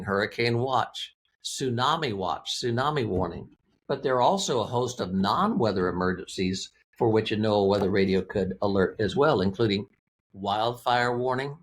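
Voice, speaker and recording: A man speaking as an interview guest, explaining a product in a plain-spoken, methodical, conversational way. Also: lowish voice. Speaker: interview guest, weather radio company representative.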